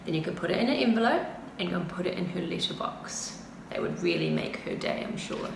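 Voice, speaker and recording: A woman's voice: on the low side, huge pitch swings, a little fast, vocal fry. A middle-aged woman narrating a picture book expressively for preschool children, recorded indoors a short distance from the camera. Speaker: preschool story-time reader. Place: indoors, small plain room. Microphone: camera a short distance away. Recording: roomy, faint background noise.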